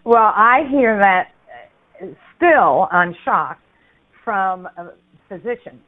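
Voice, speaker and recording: A woman's voice: medium pitch, animated, slow pace. An older woman hosting a radio interview, who speaks in an outspoken, emphatic way. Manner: outspoken and emphatic, with a laughing aside. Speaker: radio host. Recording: phone-like radio line.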